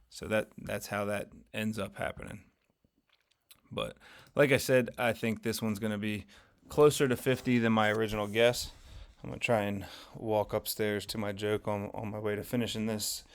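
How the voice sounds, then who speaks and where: A man hosting a casual market recap, talking through trades in a rambling, conversational way with lots of fillers while his voice grows hoarse. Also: mid-range voice, a little mellow, slight background noise. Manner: conversational, rambling, many fillers and self-corrections. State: voice getting hoarse, losing his voice. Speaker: finance channel host.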